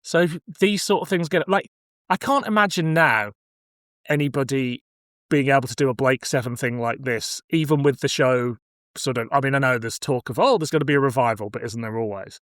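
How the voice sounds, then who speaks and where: A middle-aged man talks as a podcast host in a casual, opinionated way. His pitch is average and his phrasing is colloquial. The sound is close and dry, with very little room. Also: brisk, fairly bright tone, dry recording. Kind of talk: casual chat about cult science fiction.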